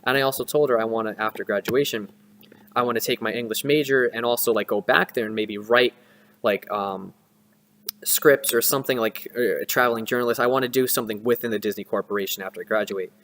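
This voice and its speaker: A man's voice: medium pitch, steady tone, a little fast, fairly bright tone. Young man chatting in a reverberant room. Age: young adult. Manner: rambling, conversational, self-interrupting. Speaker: student vlogger. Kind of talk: casual first-person advice and recounting. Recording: reverberant room with long echo.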